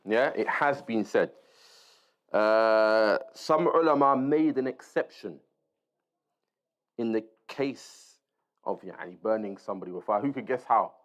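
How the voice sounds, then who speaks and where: A man teaching an informal Islamic lesson, reading aloud and explaining as he goes, checking in with his listeners. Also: fairly low pitch. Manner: explanatory, checks in with listeners using short tags. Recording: dull, lo-fi sound.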